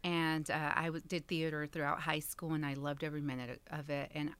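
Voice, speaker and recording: Low-voiced chatty woman who laughs often. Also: level tone, a little fast, a bit bright, clear, resonant tone. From Texas. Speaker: community center director. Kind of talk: casual interview chat.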